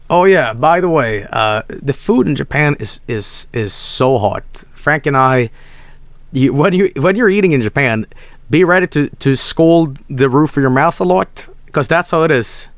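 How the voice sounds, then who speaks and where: A hoarse man, chatting casually on a stream, rambling with many restarts and soft asides. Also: medium pitch, animated, unhurried, no echo. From Sweden.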